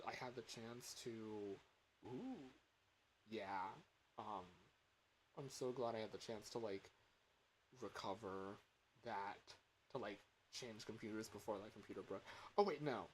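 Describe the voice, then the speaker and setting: A young man livestreaming in a casual chat, rambling and halting as he corrects himself mid-sentence. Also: lowish voice, slight background noise, low-quality recording. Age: young adult. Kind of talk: casual chat with stream viewers.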